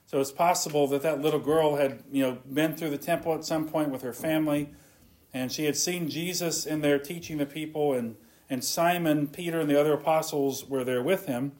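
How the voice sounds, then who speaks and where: A man's voice: on the high side, a little mellow. A man preaching an earnest sermon, reading scripture and retelling it in his own words. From not from Scotland.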